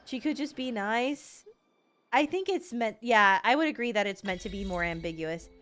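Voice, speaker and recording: A woman's voice: fairly high pitch, animated, moderate pace, fairly bright tone, faint music behind. A woman streamer giving casual live commentary on a game in a playful, candid, sweary way.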